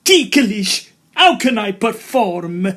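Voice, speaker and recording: A man's voice: sits high, sing-song, slow, fairly bright tone, full character voice. A man playing a fretful, melodramatic opera singer in a period farce audio drama.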